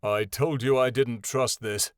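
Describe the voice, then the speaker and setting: A man's voice: medium pitch, lively intonation, normal speed, no room sound, studio quality, natural voice. Casting: man as surly, suspicious hoofed ex-soldier creature for fantasy audiobook, growly, snappish, reluctant. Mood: irritated, snarling. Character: grouchy, distrustful male hoofed creature, weary former soldier.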